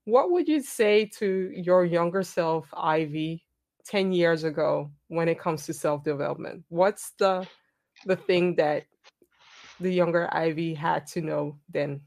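A woman's voice: lowish voice, a little slow, darkish tone. A woman moderating a panel discussion, enthusiastic and affirming as she introduces questions.

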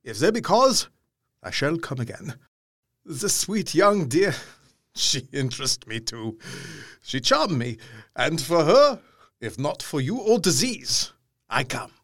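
Lively old professor, kindly and learned, bouncy pitch, dry close sound. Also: male voice, slow, no echo, full character voice. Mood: grave. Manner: emphatic, swinging between loud and soft. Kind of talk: radio drama acting.

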